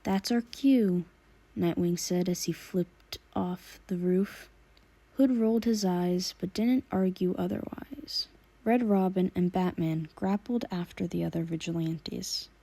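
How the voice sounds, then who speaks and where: A woman's voice: on the low side, unhurried, vocal fry, mellow. A woman reading fan fiction aloud as scripted audiobook narration.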